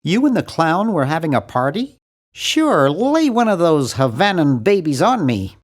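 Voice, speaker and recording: A man's voice: a little high, sing-song, a little slow. A man voicing a nerdy, timid, fussy young hero of a cartoon adventure game, with a prim, wry, bookish delivery. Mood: polite, curious. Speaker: game character voice actor.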